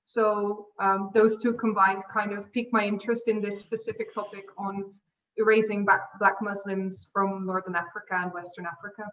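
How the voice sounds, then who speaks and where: A woman's voice: mid-range voice, flat delivery, normal speed, fairly bright tone, reverberant. Woman from the Netherlands, distant dull mic. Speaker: student. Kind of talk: self-introduction in an online seminar. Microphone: video call.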